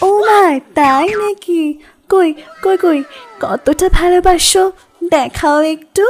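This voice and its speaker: Coy young Bengali college girl, played by a woman, cartoon comedy, very high and unhurried, teasing, music underneath. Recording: loud music behind the voice.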